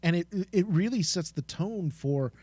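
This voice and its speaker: A man's voice: high voice, expressive, moderate pace, a little mellow, husky, no room sound. Man, podcast co-host, casual chat, enthusiastic, very varied intonation, dry sound. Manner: enthusiastic, informal.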